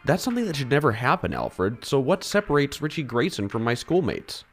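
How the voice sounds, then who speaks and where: A man playing a rich high school boy in an audio drama, earnest and questioning in his manner. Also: wildly swooping pitch, brisk, soft background music. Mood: troubled, questioning. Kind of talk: amateur audio drama acting.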